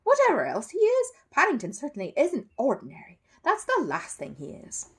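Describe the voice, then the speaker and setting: Woman playing a stern no-nonsense matron, high and emphatic. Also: huge pitch swings, normal speed, exaggerated voice. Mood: indignant. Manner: emphatic, firm. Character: brisk, stern, no-nonsense older woman of the household.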